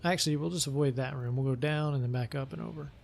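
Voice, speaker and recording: A man's voice: medium pitch, fairly even intonation, fast talker, vocal fry, slight background noise. A man hosting a let's play, chatting casually as he plays and thinking aloud with many hesitations. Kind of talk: casual gameplay commentary. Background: game music.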